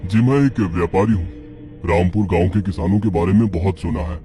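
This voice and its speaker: A man's voice: deep voice, brisk, mellow, faint music behind, lightly acted. A man playing a self-assured, calculating character in a moral-story cartoon. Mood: persuasive.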